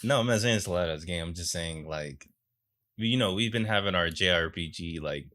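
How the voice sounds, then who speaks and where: A man's voice: sits low, fairly quick, bright, forceful tone. A man co-hosting a casual podcast, talking in an informal, slangy way. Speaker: podcast co-host. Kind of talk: casual podcast chat about video games.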